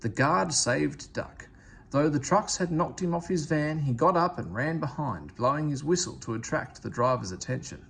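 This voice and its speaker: A man reading a children's story aloud as expressive scripted narration. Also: a little high, normal speed, creaky. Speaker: storybook reader.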